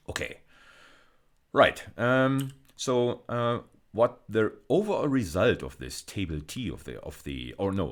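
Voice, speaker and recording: A man giving an explanatory lecture, going step by step and repeating key phrases for emphasis. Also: low-pitched. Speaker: university lecturer.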